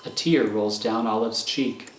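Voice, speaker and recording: A man's voice: fairly low pitch, fairly even intonation, a little slow. A man narrating scripted scene directions for a radio thriller. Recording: echoey room, clear background noise.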